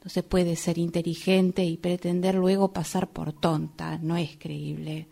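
A woman's voice: lowish voice, flat delivery, measured pace, creaky, warm tone. A woman playing an anxious yet reproachful, lecturing woman in a radio play, with an Argentine accent and an insistent manner. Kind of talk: radio drama acting.

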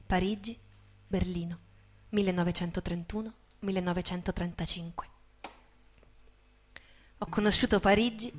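A woman giving a dramatic, scripted stage narration with intense, measured storytelling. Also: medium pitch. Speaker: actress and narrator.

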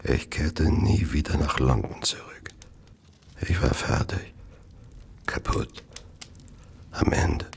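Tormented sick murderer, extremely gravelly, slow weary confession, radio drama, faint noise behind. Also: male voice, very deep voice, big pitch swings, exaggerated voice. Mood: bitter, spent. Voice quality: gravelly, whispery. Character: ailing, remorseful murderer confessing, haunted and broken.

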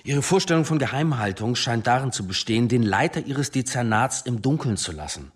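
A man's voice: medium pitch, lively intonation, a little fast, slight background noise, close to an everyday voice. An actor playing a male senior police chief in a radio drama, coolly authoritative, questioning in a dry, clipped, reproving way. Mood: sceptical, reproving. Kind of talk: German radio drama dialogue.

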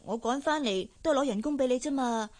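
A woman's voice: high-pitched, brisk, lightly acted. An actress playing an eager, timid teenage cleaning girl in a radio drama, girlish and inquisitive in the way she talks. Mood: whining, protesting. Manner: girlish, inquisitive, hesitant when nervous. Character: eager, timid sixteen-year-old cleaning girl, chatty and curious. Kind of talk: Cantonese radio drama acting.

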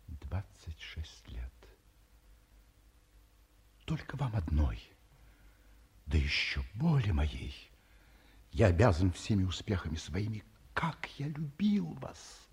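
Very husky, sentimental ageing gentleman. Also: on the low side, heavily acted. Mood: anguished, adoring. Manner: theatrical, emotional, deliberate. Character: ageing distinguished scientist, sentimental, still in love. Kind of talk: 1950s Soviet radio play acting.

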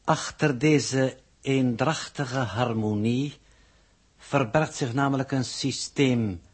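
An actor playing a pedantic, formal alien coordinator who reports in stilted, ponderous phrases in a 1960s radio drama. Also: male voice, medium pitch, slow, slightly rough. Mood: grave, formal.

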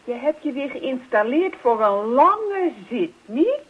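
An actress playing a nagging, neglected wife in a radio play, calling out with persistent, reproachful questions. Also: female voice, high-pitched, big pitch swings, slow pace, slightly rough, exaggerated voice. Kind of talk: Dutch radio play acting. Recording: dull lo-fi old radio recording.

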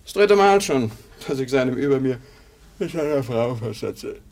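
A man's voice: medium pitch, expressive, normal speed, a little mellow, lightly acted. An actor playing a boastful, easygoing young womaniser talking colloquially with his brother in a 1950s German radio play. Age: young adult.

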